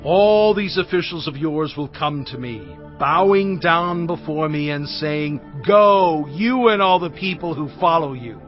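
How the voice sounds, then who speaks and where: An actor playing Moses, a stern, determined male prophet, giving solemn, forceful proclamations in a dramatized audio Bible. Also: fairly high pitch, expressive, measured pace, hardly any pauses. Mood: solemn warning. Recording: dull lo-fi sound with music.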